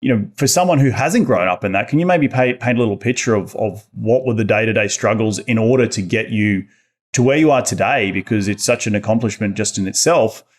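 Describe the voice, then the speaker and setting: A man's voice: on the low side, a little fast. A man, hosting a podcast interview in a friendly, conversational way. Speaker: podcast host. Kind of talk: casual interview talk. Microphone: headset and desk mic, video call.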